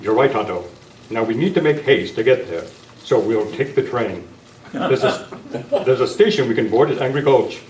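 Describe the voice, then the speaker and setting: A man's voice: medium pitch, animated, moderate pace, a little mellow, close to an everyday voice. A man playing an upright masked western hero in an amateur radio western, with plain, proper speech. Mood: reasoning, wry. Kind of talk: amateur old-time radio western acting. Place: club meeting room, far camera. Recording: echoey, distant camera sound, clear noise.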